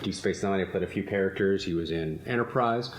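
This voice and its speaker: Male podcast host, low voice, rambling casual talk, roomy echo with faint hum. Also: brisk. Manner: rambling, laughs often. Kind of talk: casual chat. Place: room with friends. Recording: echoey room, faint ambient noise.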